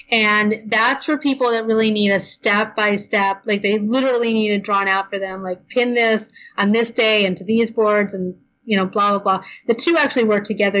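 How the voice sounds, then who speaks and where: Tired, talkative woman guest; casual, filler-heavy, a little hoarse, muffled remote audio. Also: mid-range voice, a bit bright. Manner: chatty, fast, lots of ums and you knows. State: tired, losing her voice. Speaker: blogger guest. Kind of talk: podcast interview, casual advice.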